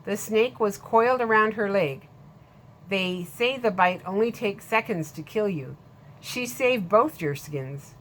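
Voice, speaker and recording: A woman's voice: medium pitch, measured pace, a bit bright, slight background noise, lightly acted. A woman voicing a blunt, friendly male storekeeper in a storybook reading, speaking in a matter-of-fact way. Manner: plain-spoken, matter-of-fact.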